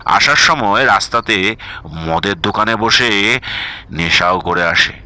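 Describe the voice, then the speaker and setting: A man's voice: lowish voice, animated, slow pace, bright, forceful tone. A man narrating a scripted horror story as its storyteller.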